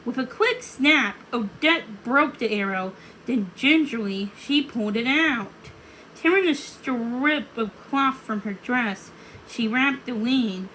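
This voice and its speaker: A woman reading a storybook aloud in an expressive narrating style. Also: on the high side, takes it slowly, a little hiss or hum, poor audio. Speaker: storybook reader.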